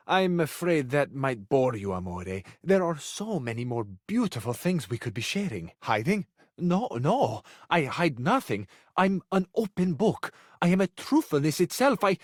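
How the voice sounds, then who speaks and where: A man's voice: medium pitch, sing-song, unhurried, dry recording, clean studio sound. A man voicing a suave, passionate living cabinet in a video game, with an Italian accent and a florid, flirtatious, earnest way of talking. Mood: pained, pleading, then defensive. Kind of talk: theatrical romantic video game voice acting.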